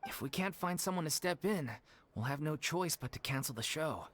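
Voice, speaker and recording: A man's voice: on the high side, lively intonation, fairly quick, a bit bright. An actor playing an earnest, apologetic male leader of an amateur theater troupe in a game cutscene. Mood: resigned. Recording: game audio with faint music.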